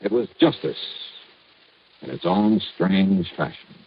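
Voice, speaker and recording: An actor playing a tough, wry insurance investigator, narrating tersely and dramatically in old radio detective style. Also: male voice, sits low, slow pace, husky, exaggerated voice. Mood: grim, tense. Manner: terse, dramatic, hard-boiled. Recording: muffled vintage radio recording.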